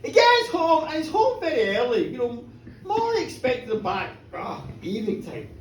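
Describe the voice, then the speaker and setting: A woman's voice: high-pitched, wildly swooping pitch, normal speed. A woman telling a folk tale live in a theatrical, colloquial way, repeating phrases for effect. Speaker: storyteller. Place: indoor bar, live performance. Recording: echoey bar, distant mic, background noise.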